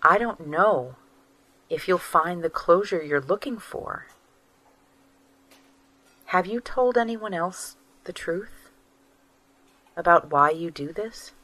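A woman's voice: lowish voice, lively intonation, takes it slowly, pauses often, pushed and bright, full character voice, poor audio. An actress playing a tired, guarded wife who is weighing a divorce from her husband in an audio drama. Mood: weary. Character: Maria, estranged wife weighing divorce, weary.

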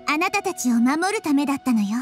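A woman voicing a young-looking, wise goddess who speaks softly and tenderly, as a game character. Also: high-pitched, expressive, quick pace. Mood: gentle. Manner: soft, tender, reassuring. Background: game music.

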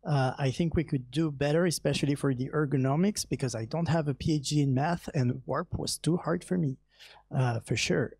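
A young man with a mellow, darker voice speaks on a panel in a large hall. He talks in a relaxed, candid way with small laughs and fillers, holding a handheld mic. The sound is dry and close. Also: fairly high pitch, normal speed, creaky, husky. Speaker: panelist, software engineer. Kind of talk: conference panel answer.

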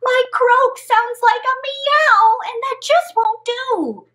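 A woman's voice: extremely high pitch, takes it slowly, fairly bright tone, pitched above the performer's own voice, heavily acted. A woman voicing a distressed young male frog from a storybook, pleading and overdramatic. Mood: pleading, dismayed. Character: distressed young male storybook frog who makes wrong animal sounds.

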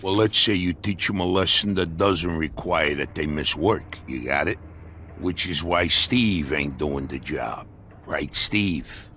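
An actor playing a smug, foul-mouthed dockside union boss in a crime video game, with an American accent and a streetwise, chummy, patronizing manner. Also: male voice, very deep voice, a little slow, sharp tone, slight background noise, exaggerated voice. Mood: persuasive, businesslike. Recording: music behind the voice. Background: background music.

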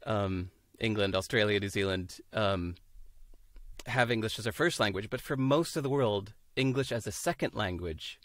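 Guest, fifties, American man, lowish voice, muses aloud on a dry video call. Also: normal speed, a bit bright, no echo. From United States. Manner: thoughtful, searching for words, laughs easily. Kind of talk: casual interview chat. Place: home room, indoors.

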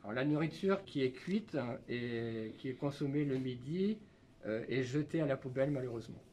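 A man in his fifties, a school canteen cook, calmly explaining his work to children with plenty of fillers, recorded on a camera nearby in a kitchen. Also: mid-range voice, moderate pace, slight background noise. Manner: plain, patient, with many fillers. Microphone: camera held by children nearby.

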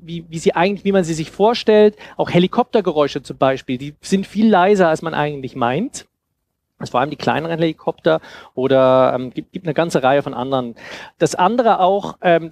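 Guy in his 30s, kinda high voice, explaining stuff in a casual talk, stumbles over words a bit. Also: darkish tone. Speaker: speaker giving a talk on sound design. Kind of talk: informal workshop presentation. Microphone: headset.

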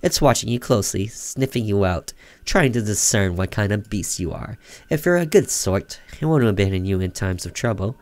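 A man's voice: on the low side, expressive, normal speed, dry recording, natural voice. Man reading as a caring, ominous old grandmother. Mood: gentle, ominous. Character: caring old grandmother giving eerie folk warnings. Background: music under the voice.

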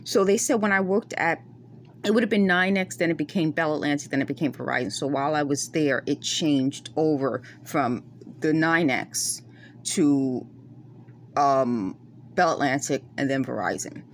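A middle-aged woman from New York speaks with a Bronx accent that carries a West Indian lilt, her voice sitting fairly low. She talks to her phone camera up close, earnest and insistent, circling back over her points. A faint hum runs underneath. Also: normal speed. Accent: Bronx New York with West Indian traces. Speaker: vlogger. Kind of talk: casual monologue to camera. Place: dim room at night, indoors.

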